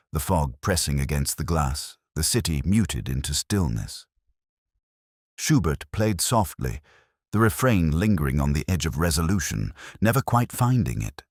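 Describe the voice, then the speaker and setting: A man reads a scripted first-person detective story in a measured, solemn, reflective manner, as a literary narrator. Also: rumbling low, no echo, clean studio sound. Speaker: audiobook-style narrator.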